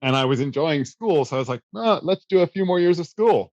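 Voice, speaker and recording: Relaxed man telling stories, dry room. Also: on the high side, brisk, dry recording, dull audio. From South Africa. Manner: relaxed, self-deprecating storyteller with many fillers. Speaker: guest. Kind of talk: casual interview answers.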